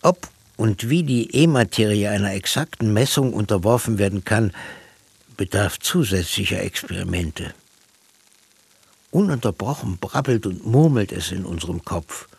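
An actor playing a pensive, cerebral man in a literary radio play monologue, speaking in a measured, reflective manner. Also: lowish voice, vocal fry, husky, no room sound, full character voice. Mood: pensive, questioning. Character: pensive man musing on mind, matter and dreams.

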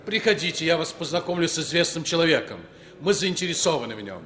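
An actor playing a suave, cautious male spy contact, measured and composed, in a 1960s radio play. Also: a little high, animated, a bit bright. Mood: discreet. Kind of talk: 1960s radio drama acting.

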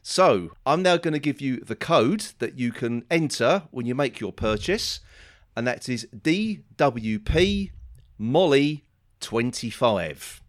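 A man's voice: medium pitch, expressive, slow pace. A man co-hosting a podcast, friendly and a little hesitant, laughing easily. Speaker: podcast co-host. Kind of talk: casual podcast chat.

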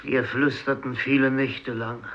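Male actor as doomed fisherman, grave, very slow measured delivery, dull lo-fi radio drama recording. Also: mid-range voice, flat delivery, a bit bright, natural voice. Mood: grave, resigned. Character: grave Japanese fisherman, narrating his own burial, doomed. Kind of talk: 1950s literary radio play monologue.

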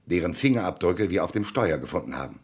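A low, animated male voice plays a shrewd commissioner in a German radio crime play. He is husky and insistent, laying out each point methodically and pressing for answers with theatrical emphasis. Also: fast talker, heavily acted. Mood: insistent, deductive. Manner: methodical, probing, declamatory interrogation.

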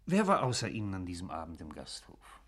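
An actor playing a formal, methodical magistrate questioning a witness in a German radio drama. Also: male voice, sits low, wildly swooping pitch, a bit bright, husky, heavily acted. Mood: probing. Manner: inquisitive, brisk, formal.

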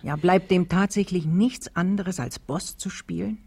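A woman's voice: fairly low pitch, unhurried, gravelly, darkish tone, breathy edge, exaggerated voice. An actress in a German radio play playing an earnest, worried woman who speaks in a thoughtful, questioning way. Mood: troubled, insistent. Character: earnest, worried woman in a school, pensive and insistent. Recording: 1970s radio drama with music.

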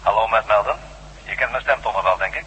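A curt, bright-voiced telephone kidnapper. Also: male voice, lowish voice, quick pace, in a large hall, a little hiss or hum, poor audio. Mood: curt, controlling. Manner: curt, controlling questioning. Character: commanding anonymous male kidnapper on the telephone. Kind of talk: radio drama acting.

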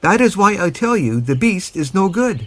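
A man plays a cordial English livestock breeder in a comic folk tale. His high voice moves up and down a lot as he speaks bluntly and emphatically. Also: big pitch swings, unhurried, background noise. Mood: firm, blunt.

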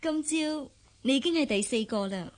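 A woman's voice: sits high, animated, moderate pace. A woman acting in a Cantonese radio drama with a Hong Kong accent, playing a young, choosy, sweetly teasing office worker. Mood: coyly probing.

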